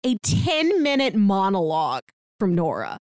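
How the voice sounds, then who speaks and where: A woman co-hosting a casual TV review podcast, giving measured, thoughtful opinions. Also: mid-range voice, wildly swooping pitch, darkish tone, no room sound, studio quality. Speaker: podcast co-host.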